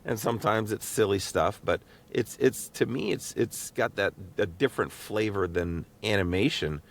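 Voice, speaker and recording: A man hosting a casual podcast, talking in a halting, rambling way and repeating words as he thinks aloud. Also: fairly low pitch. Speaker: podcast host and voice actor. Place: small home recording booth.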